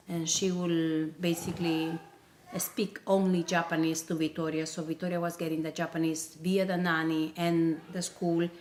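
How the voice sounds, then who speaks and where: Low-voiced Peruvian-born woman, hesitant. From Peru. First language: Spanish. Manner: hesitant, many restarts and fillers. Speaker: interview guest. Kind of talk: casual interview chat. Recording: noisy background.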